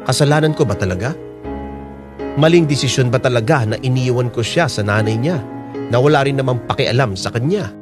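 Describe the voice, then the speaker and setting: A man's voice: mid-range voice, close to an everyday voice. A man playing a troubled, weary father who narrates his own story in a radio drama, earnest and sorrowful. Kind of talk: first-person dramatic narration.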